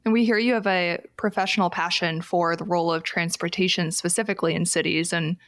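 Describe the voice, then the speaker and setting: A woman's voice: fairly low pitch, steady tone, a little fast, a bit bright, no echo. Upbeat Canadian woman co-hosting, dry sound. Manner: upbeat, laughs easily. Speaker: podcast co-host. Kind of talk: casual podcast chat and guest intro.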